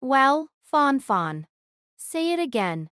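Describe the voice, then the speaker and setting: A cold, deadpan young senpai. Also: female voice, sits high, takes it slowly, no room sound, studio quality, exaggerated voice. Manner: flat, cold, demanding. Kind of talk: amateur audio drama acting.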